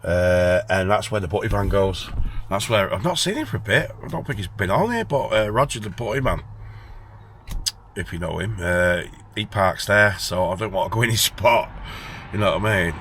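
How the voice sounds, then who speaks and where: A man's voice: on the low side, animated, normal speed, fairly bright tone. A man in his late forties with a Northern English accent, rambling informally and thinking aloud as he chats to viewers in an unscripted vlog. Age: late 40s. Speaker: van-life vlogger. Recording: noisy, traffic and vehicle ambience. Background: traffic and vehicle noise.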